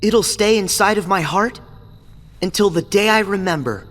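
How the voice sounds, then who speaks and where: A man voicing an earnest, stubborn and kind teenage boy hero in a game cutscene, speaking in a resolute, quietly pained way. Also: sits high, moderate pace. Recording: game audio with long reverb and music.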